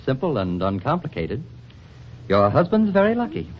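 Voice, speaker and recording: A man playing a charming, effusive bachelor with a poetic, flattering manner in a 1940s radio drama. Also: mid-range voice, moderate pace, a little hiss or hum. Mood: charmed. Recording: dull 1940s radio recording.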